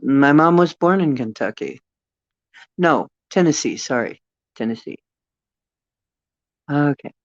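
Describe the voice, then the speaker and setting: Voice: mid-range voice, animated, slow, long pauses, no echo, dull audio. An older host with a Northeastern Ohio accent, chatting casually and expressively, laughing often and trailing off with fillers. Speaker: channel host. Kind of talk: casual livestream chat.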